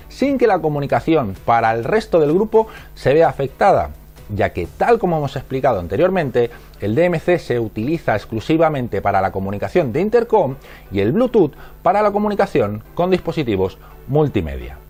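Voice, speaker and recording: A man's voice: on the high side, mellow. A man with an accent from Spain reading scripted instructional narration in a methodical, didactic manner. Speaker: narrator of a product explainer.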